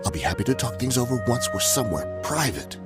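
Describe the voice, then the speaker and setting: An actor playing a nervous, wheedling ex-mercenary weapons smuggler in a video game dialogue. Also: male voice, medium pitch, sing-song, fast talker, over loud music, lightly acted. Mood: hushed.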